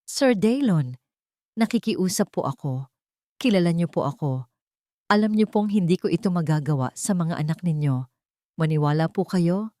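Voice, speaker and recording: A woman's voice: fairly low pitch, animated, normal speed, long pauses, mellow, dry recording, clean studio sound, close to an everyday voice. A woman voicing a humble, wrongly accused young nanny in an audio drama, desperate and pleading.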